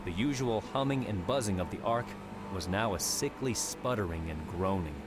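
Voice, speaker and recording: A man narrating an audiobook in a steady, measured storytelling voice. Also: fairly low pitch. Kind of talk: scripted narration. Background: music.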